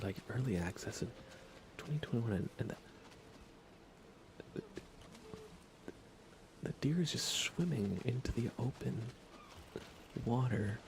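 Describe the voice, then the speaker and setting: A soft-spoken man rambling through relaxed ASMR live gaming commentary, musing aloud hesitantly and often slipping into a whisper. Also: on the low side, gravelly, background noise. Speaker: livestream game streamer.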